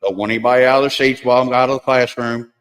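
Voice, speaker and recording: A man's voice: medium pitch, fairly even intonation, rapid-fire, fairly bright tone. Crude joking man in a reverberant room. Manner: crude, joking, rambling storyteller. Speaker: livestream host. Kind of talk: casual chat. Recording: long hall reverb.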